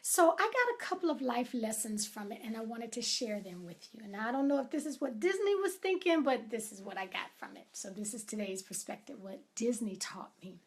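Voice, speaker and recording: A woman giving a reflective motivational talk, deliberate and emphatic, with drawn-out pauses and soft asides. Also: fairly high pitch, animated.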